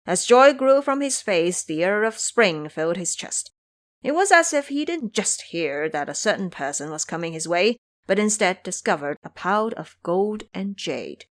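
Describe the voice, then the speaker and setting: Lively female audio drama storyteller. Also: lowish voice. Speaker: narrator.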